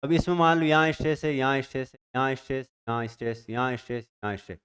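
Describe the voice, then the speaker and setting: A male teacher giving an exam-prep literature lecture, explaining step by step, reading definitions slowly and checking in with his students. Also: medium pitch, a bit bright, clean tone. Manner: reads English definitions slowly.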